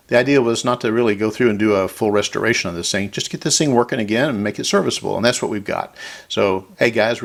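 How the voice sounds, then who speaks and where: A man talking casually and conversationally in a folksy way as he hosts a hands-on electronics repair demonstration. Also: on the low side. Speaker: host of electronics repair videos.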